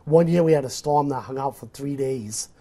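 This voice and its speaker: A man's voice: medium pitch, normal speed, warm tone. New England man explaining eagerly. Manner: gestures a lot, explains eagerly with restarts. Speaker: nature photographer guest. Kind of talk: casual interview talk. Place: studio set. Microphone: lapel.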